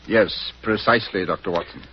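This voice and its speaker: An actor playing a grave English knight in government service in a 1940s radio drama, formal and measured. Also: male voice, sits low, slight background noise, close to an everyday voice. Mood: grave, assured. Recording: muffled 1940s radio recording.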